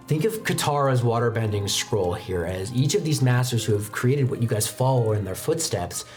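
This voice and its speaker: A man's voice: medium pitch, expressive, normal speed, a little mellow. A man runs a tabletop game as its storyteller. He describes scenes dramatically and slips into casual asides, with music playing underneath. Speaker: game master. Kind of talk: narrating a tabletop roleplaying game.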